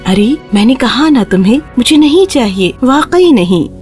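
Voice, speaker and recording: A woman's voice: fairly high pitch, very animated, darkish tone, soft background music. A woman voicing a reluctant but sympathetic lady passer-by in a children's cartoon story. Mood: dismissive.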